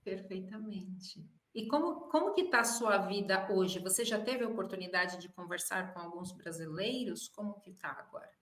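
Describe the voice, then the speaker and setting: A woman's voice: mid-range voice, animated, normal speed, few pauses, a bit bright, echoey room. A Brazilian woman, a Portuguese teacher hosting an interview, encouraging and full of short affirmations. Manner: encouraging, many short backchannels. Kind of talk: casual interview chat.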